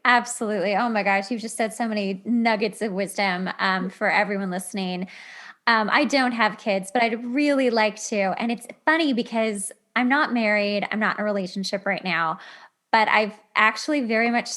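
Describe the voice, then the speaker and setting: A woman's voice: mid-range voice, moderate pace, a bit bright. A woman in her thirties hosting a casual interview, effusive and confiding, with a very informal way of talking. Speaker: podcast host.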